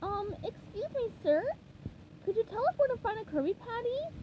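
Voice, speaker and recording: A woman voicing an eager, cheerful young male sea sponge in a storybook reading, high and squeaky. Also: normal speed, a little hiss or hum, low-quality recording. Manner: squeaky, excited, polite.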